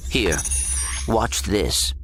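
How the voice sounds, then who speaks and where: Low-voiced, earnest robot sidekick. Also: male voice, animated, extremely slow, fairly bright tone, faint music behind, heavily acted. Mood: worried. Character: small, earnest, worried, precise robot sidekick.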